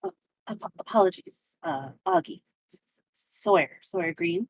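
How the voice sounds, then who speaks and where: A woman playing a courteous, formal adventurer in a tabletop game, speaking haltingly. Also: mid-range voice, sing-song, husky, no echo, heavily acted, rough recording. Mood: polite, reserved.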